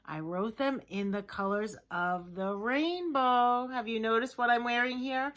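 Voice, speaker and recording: A woman speaks as a teacher addressing young children on camera. Her voice is bright and forward, and she takes her time. She uses simple, gently encouraging phrasing with deliberate emphasis on key words. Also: on the high side.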